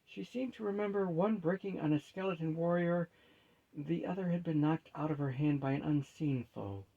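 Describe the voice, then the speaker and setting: A man narrates a fantasy story in a higher-set voice with a gravelly, husky grain. The tone is mellow and the reading is scripted. The recording sounds dull and lo-fi. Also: moderate pace.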